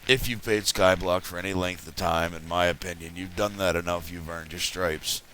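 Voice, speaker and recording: A man hosting a casual game commentary, his voice raspy from having just woken up, rambling and chatty as he plays. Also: sits low, steady tone, normal speed, fairly bright tone, a little hiss or hum. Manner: rambling, chatty, self-correcting. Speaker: let's play host.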